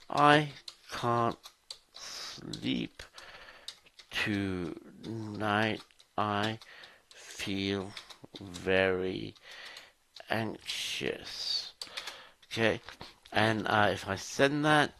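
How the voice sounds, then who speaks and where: A man giving a software demo walkthrough, explaining in short, halting phrases as a presenter. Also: mid-range voice. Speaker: solution architect presenter.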